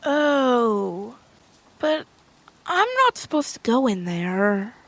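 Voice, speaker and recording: A storybook girl adventurer, high-voiced and very animated. She rambles eagerly and nervously, wide-eyed, as if half talking to herself. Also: drawn-out words, exaggerated voice, rough recording. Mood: hesitant, explaining. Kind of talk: audio storybook character voice.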